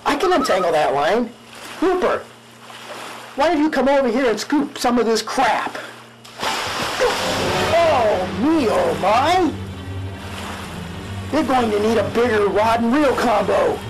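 A man's voice: very high voice, lively intonation, takes it slowly, long pauses, background noise, heavily acted. A man playing a nervous, put-upon police chief in a spoof radio drama, whining with quaint mild exclamations. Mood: eager.

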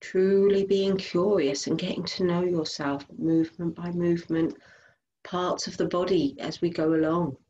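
Low-voiced woman guiding a meditation. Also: steady tone, muffled recording. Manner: gentle instructing, unhurried pauses between short phrases. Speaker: meditation and yoga teacher. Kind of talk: guided body scan meditation.

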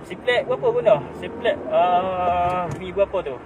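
A man's voice: sits high, normal speed. A man with a Malaysian accent making casual radio contact as a mobile amateur radio operator in a car. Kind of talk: casual two-way radio chat. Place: inside a car on city roads. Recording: distant in-car audio, loud road noise.

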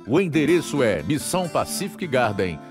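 A man with a Brazilian accent delivering scripted evangelistic narration as a radio announcer, formal and clearly phrased. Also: mid-range voice, big pitch swings, moderate pace. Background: guitar music.

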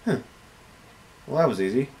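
A man's voice: medium pitch, expressive, normal speed, heavy background noise. A young man acting in an amateur comedy skit with exclamatory, exasperated delivery, recorded on a camera in a bedroom. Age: young adult. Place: small home bedroom, indoors.

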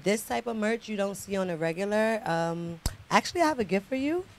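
A woman's voice: medium pitch, animated, fairly quick. Young adult woman, guest artist, upbeat, casual, repeats for emphasis, handheld mic, small studio. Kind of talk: casual interview chat.

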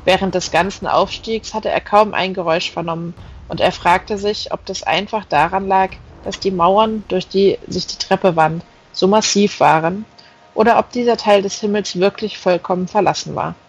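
Audiobook narrator for fantasy fiction, medium pitch, slightly dull recording with music behind. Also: moderate pace, slight background noise. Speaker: audiobook reader. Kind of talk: scripted narration of a fantasy novel.

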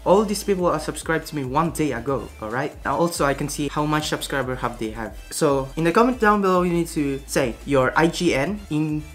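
A man's voice: on the high side, lively intonation, a little fast, few pauses, a bit bright, faint music behind. A young man from the Philippines, hosting a casual gaming vlog from his room and walking viewers through a giveaway. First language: Tagalog. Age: young adult. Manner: chatty, repeats himself, gestures with his hands while explaining. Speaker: gaming channel host. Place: small room indoors. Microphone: webcam at desk.

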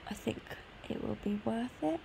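Female gaming host, casual walkthrough narration, sore throat and tired, creaky voice over audible background noise. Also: fairly high pitch, normal speed, warm tone. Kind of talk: casual gameplay tour commentary.

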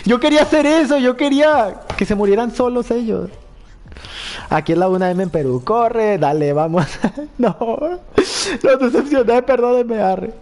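A man's voice: lively intonation, normal speed, darkish tone. A young Mexican man with a Mexican Spanish accent, streaming a game live and chatting playfully as he reads comments. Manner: playful, chatty, laughs often, jumps into high squeals. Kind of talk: live-stream gameplay chat reading comments.

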